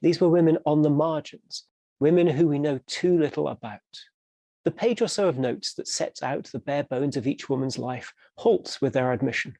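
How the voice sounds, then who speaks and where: A man speaking as a professor, giving a measured, explanatory scripted introduction. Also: fairly high pitch, mellow, dry recording. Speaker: professor introducing an audio drama.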